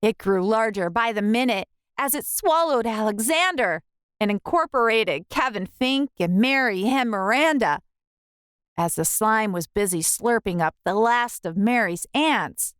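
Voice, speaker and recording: A woman narrating a rhyming children's picture book in a theatrical, expressive storytelling manner. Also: a little high, measured pace, no room sound, studio quality. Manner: theatrical, storytelling delivery with emphatic rhyme.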